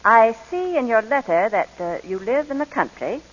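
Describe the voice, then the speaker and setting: A crisp, exacting orphanage official in a 1940s radio drama. Her pitch moves a great deal, and the muffled old broadcast rings with reverb. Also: sing-song, moderate pace. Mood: businesslike. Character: brisk, exacting female orphanage official, businesslike. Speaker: adoption agency official.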